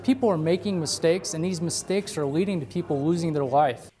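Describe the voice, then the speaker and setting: A woman's voice: low voice, a little fast, mellow. A woman speaking off the cuff in an interview as a safety spokesperson. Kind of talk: unscripted interview soundbite.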